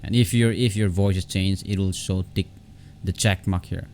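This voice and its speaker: A low-pitched man walks through a software tutorial off the cuff. His voice has a gravelly creak, and he halts and repeats phrases as he goes. There is clear background noise on the recording. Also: steady tone. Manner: halting, repetitive, often restarting phrases. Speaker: tutorial host.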